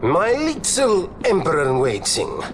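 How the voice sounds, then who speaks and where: A man's voice: on the high side, huge pitch swings, measured pace, slight background noise, heavily acted. An actor playing a suave, flirtatious nobleman in a video game, with florid, theatrical delivery. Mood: charming. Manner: florid, theatrical, smooth-talking. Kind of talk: scripted video game cutscene acting.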